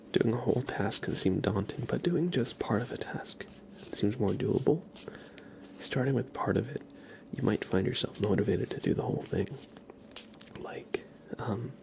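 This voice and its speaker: A man doing a live ASMR stream, chatting casually with viewers in a soft, breathy whisper and rambling conversationally. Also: mid-range voice. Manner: rambling, conversational, addresses chat by name.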